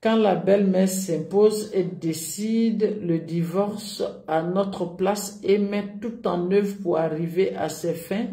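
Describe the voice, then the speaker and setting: A woman's voice: lowish voice, roomy sound. A woman marriage coach giving advice to callers, checking in with listeners. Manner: warm-toned counsel with repeated "d'accord?".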